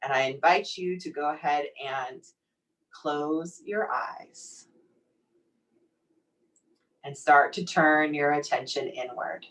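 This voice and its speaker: A woman leading a guided meditation in a soothing, gently encouraging, unhurried manner. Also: low voice. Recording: distant microphone pickup.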